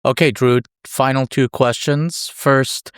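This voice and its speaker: A man's voice: mid-range voice, fairly even intonation, slow pace, fairly bright tone, smooth, no echo, studio quality. A man speaking as a podcast host, in a presenter's style. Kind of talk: interviewing and scripted sign-off.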